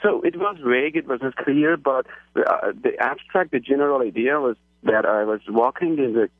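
A man from Iran calls in over a tinny phone line, his voice a little husky. He talks eagerly but haltingly, restarting his sentences as he explains. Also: mid-range voice, dry recording. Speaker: caller. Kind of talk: phone-in call. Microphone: telephone.

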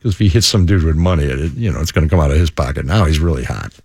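Radio co-host, male, wisecracking banter, animated swinging delivery, dry close studio sound. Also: extremely low pitch, racing, husky, no echo. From Ireland. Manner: wisecracking, rhetorical "right?" and "okay?". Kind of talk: joking banter about crime news.

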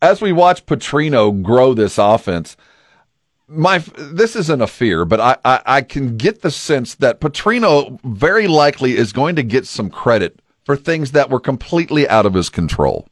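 A man hosting a conversational sports talk radio show, speaking in a deliberate, reflective way. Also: medium pitch, very animated, dry recording, clean studio sound.

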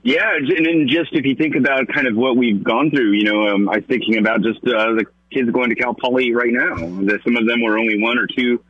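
A man's voice: mid-range voice, steady tone, a little fast, hardly any pauses, breathy edge, no echo, dull audio. A middle-aged man calling into a radio show, rambling a little, with lots of fillers and restarts. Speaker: caller. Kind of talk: call-in commentary.

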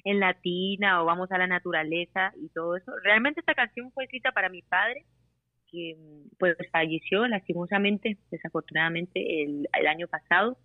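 A woman's voice: lowish voice, normal speed, dry recording. A woman singer-songwriter, raised in Costa Rica and Panama, interviewed over the phone and laughing often. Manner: laughs often, warm and upbeat. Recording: tinny phone line.

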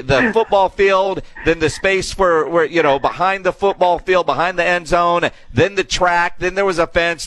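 A man's voice: sits high, a bit bright. A man hosting a sports radio show, chatting casually and telling teasing anecdotes. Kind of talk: casual chat.

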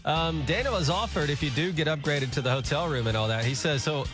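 A grown man co-hosting a morning radio show, easygoing in banter and contest plugs, his voice sounding rough and worn. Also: fairly high pitch, brisk. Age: adult. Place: radio studio. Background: music bed.

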